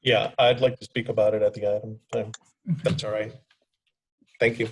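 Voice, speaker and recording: A man's voice: fairly low pitch, little pitch movement, normal speed, slight background noise. A man who is vice mayor, chairing a council meeting in a casual, slightly hesitant way. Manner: casual, hesitant, moves things along.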